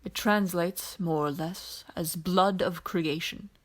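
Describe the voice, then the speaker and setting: A weary alien man as a woman reader plays him speaks in a measured, quiet way. He is wry and dryly teasing, like a practised storyteller in an audiobook. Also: lowish voice. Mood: quiet, solemn. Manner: dry, measured, quiet explanations.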